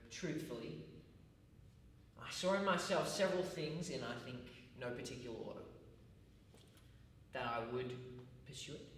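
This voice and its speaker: A man acting out an earnest, self-justifying male college teacher in a stage monologue. He speaks in formal, halting, deliberate phrases at a middle pitch. The sound is distant and very echoey. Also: fairly bright tone, close to an everyday voice. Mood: measured, self-justifying. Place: large echoey room at a college. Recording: echoey hall, distant mic, faint noise.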